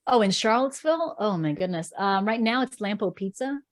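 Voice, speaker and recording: A woman's voice: mid-range voice, animated, a little fast, no room sound, studio quality. A woman in her thirties whose first language is Portuguese, talking in a chatty, rambling way with frequent ums as a guest on a podcast interview. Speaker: sports reporter guest.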